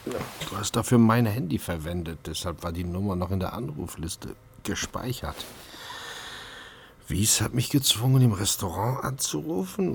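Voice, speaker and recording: A man's voice: low-pitched, gravelly, a little hiss or hum, exaggerated voice. An actor playing a wry, food-obsessed chef who narrates his own story in a German crime radio play. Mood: groggy, subdued. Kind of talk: dramatic first-person narration and radio play acting.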